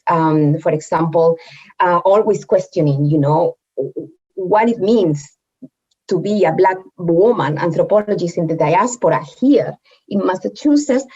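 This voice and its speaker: A woman from Spain, a native Spanish speaker with a Spanish accent, telling her personal story in a reflective, halting way. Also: fairly low pitch, warm tone. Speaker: guest speaker, medical anthropologist. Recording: dull, lo-fi audio.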